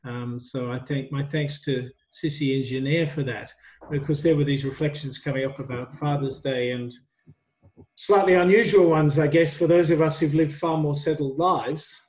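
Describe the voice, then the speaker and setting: A middle-aged man teaching a university workshop over a video call on a headset, relaxed and hesitant with many ums. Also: on the high side, normal speed, poor audio. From migrant to Australia. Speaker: university tutor.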